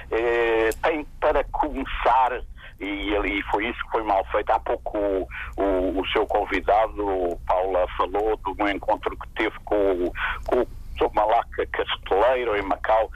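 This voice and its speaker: An older man speaks over a dry telephone line, his voice husky and slightly rough. He talks in a halting way, with many fillers and restarts as he argues his point. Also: fairly low pitch, dry recording. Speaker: radio listener calling in. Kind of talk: live radio call-in debate.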